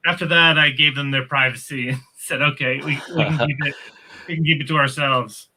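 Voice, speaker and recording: A middle-aged man, a writer, explaining his work in a measured, casual interview chat. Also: on the high side, fairly even intonation, background noise. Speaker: writer, podcast guest.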